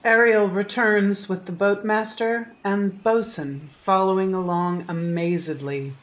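A woman's voice: mid-range voice, fairly even intonation, a little slow, low-quality recording. A woman narrator reading scripted stage directions for a radio play.

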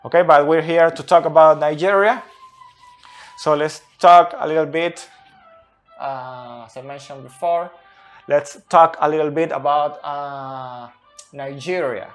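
A man in his thirties who is not a native English speaker, explaining football tactics unscripted at a whiteboard, slow and deliberate with many pauses. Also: a little high, fairly even intonation, faint music behind. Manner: slow and deliberate, pausing often. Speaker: football analyst. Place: small room by a whiteboard.

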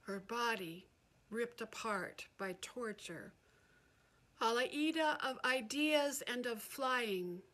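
Slow, solemn older woman reading poetry. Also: a little high, bright, forceful tone. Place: indoors on a video call.

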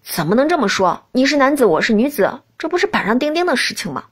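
A woman's voice: sits high, sing-song, fast talker, breathy edge, full character voice. Woman voicing a flustered, exasperated young princess. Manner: hesitant, protesting, exasperated. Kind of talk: audiobook character dialogue.

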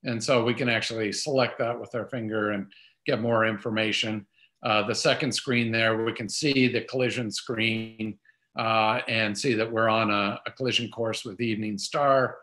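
A man's voice: fairly low pitch, steady tone, normal speed, pushed and bright. An older man giving an informal, explanatory webinar product demonstration over a video call. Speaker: presenter.

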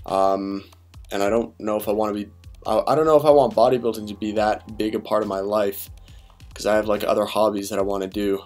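A young man with a low voice talks softly and keeps it hushed, as if trying not to wake anyone. He rambles casually with plenty of fillers and restarts. Faint music runs under the close voice-over. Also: steady tone. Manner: deliberately quiet and low-key. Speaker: fitness vlogger. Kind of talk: quiet late-night voice-over.

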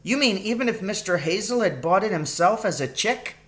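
Man putting on an eager, high-pitched young boy. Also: animated, normal speed, fairly bright tone. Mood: curious. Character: eager, curious young boy, the narrator's son. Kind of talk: storybook read-aloud.

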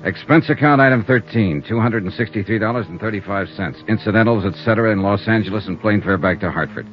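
An actor with an American accent playing a shrewd, world-weary insurance investigator with clipped, hardboiled delivery in 1950s radio style. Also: male voice, lowish voice, quick pace, music in the background, natural voice. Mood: businesslike. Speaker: lead actor of a radio detective serial. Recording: muffled old radio broadcast.

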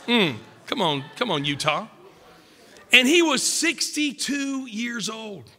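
A middle-aged man preaching at a pulpit, emphatic and joking, a little croaky from a cold. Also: extremely high pitch, sing-song, takes it slowly, pushed and bright, in a large hall. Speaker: pastor. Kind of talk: sermon. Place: church stage at a wooden pulpit. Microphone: podium mic.

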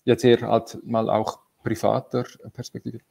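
Mellow-voiced man hosting a video call. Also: mid-range voice, flat delivery, moderate pace, vocal fry. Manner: many fillers, searching for words. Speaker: host. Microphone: video call webcam.

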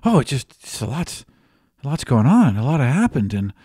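A man voicing an anxious, eager, shy young bird-person who stammers and rambles haltingly. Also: medium pitch, sing-song, warm tone, husky, no room sound, clean studio sound, pitched above the performer's own voice. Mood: nervous, rattled.

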